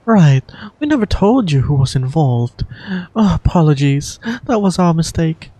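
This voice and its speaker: A woman voicing a calm, protective guardian for a video game in a measured, articulate, reassuring way. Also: low-pitched, dry recording, heavily acted. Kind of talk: amateur video game dubbing.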